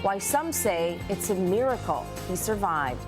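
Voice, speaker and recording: A woman anchoring a local morning TV newscast, reading stories clearly and steadily. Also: medium pitch, big pitch swings, measured pace, over music. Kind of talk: scripted news reading.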